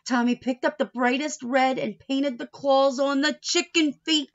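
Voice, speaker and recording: A woman reading a picture book aloud to young children like a teacher, playful and expressive, with asides, questions and some Spanish words mixed in. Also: sits high, takes it slowly, vocal fry, highs cut off. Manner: playful, expressive, with gasps and exaggerated reactions; drops Spanish words in and translates them.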